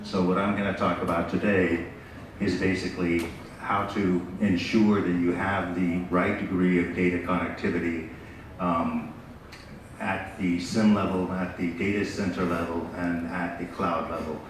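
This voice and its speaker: A middle-aged American man talks through a business presentation in a deep, level voice. He speaks loosely and conversationally, leaning on fillers as he goes. The sound comes from across a roomy, echoing hall over a steady layer of noise. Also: a little slow. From United States. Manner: relaxed and conversational, with frequent fillers. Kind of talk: conference presentation. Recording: echoey hall, distant mic, background noise.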